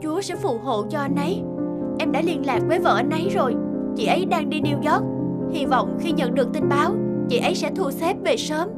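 A woman voicing a gentle, supportive young woman in a cartoon, soothing and caring. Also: high voice, lively intonation, a little fast. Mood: concerned, comforting. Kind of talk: dubbed cartoon acting. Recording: loud music over the voice.

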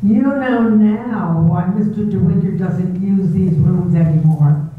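A cruel, obsessive housekeeper, read by a woman in an old-style radio drama, taunting in a low, insinuating voice. It is captured from a distance in a very reverberant hall. The sound is narrow and tinny, with a faint hiss underneath. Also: slow pace, close to an everyday voice. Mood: coldly insinuating. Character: cold menacing female housekeeper, obsessive and cruel. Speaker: reader in an amateur theater group. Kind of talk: 1930s radio drama reading. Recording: echoey tinny hall recording from far off, with noise.

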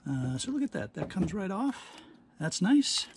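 A man giving casual hands-on demonstration commentary, halting and thinking aloud as he works at a workbench. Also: high-pitched, very animated. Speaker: host of a scrapping how-to channel. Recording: clear background noise, handling clicks.

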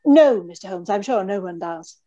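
A woman's voice: medium pitch, animated, moderate pace, warm tone, lightly acted. A woman playing an anxious, genteel young fiancée in old-time radio mystery style, with a pleading manner. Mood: nervous, pleading. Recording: dull video-call audio.

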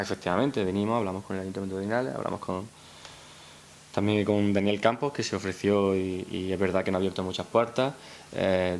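A young man from Spain with a Spain accent speaks at a press conference in a fairly low voice. He talks without a script, hesitating and repeating small words as he finds his way. A faint hiss sits under the recording. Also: a little mellow. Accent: Spanish from Spain. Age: young adult. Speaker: film director. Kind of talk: unscripted press conference remarks. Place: indoor press conference room. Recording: hissy press-room recording.

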